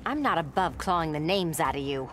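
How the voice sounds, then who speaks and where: Game antiheroine: low, lively, sardonic cat burglar, tough and taunting, over loud game ambience. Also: female voice, brisk, over music. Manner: wry, taunting.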